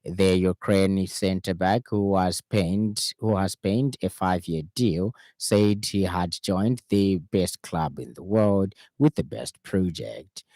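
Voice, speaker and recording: A man with a Zambian accent reading a scripted radio news bulletin in a formal, measured announcer's delivery. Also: low voice, mellow, no echo. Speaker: radio newsreader. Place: radio studio.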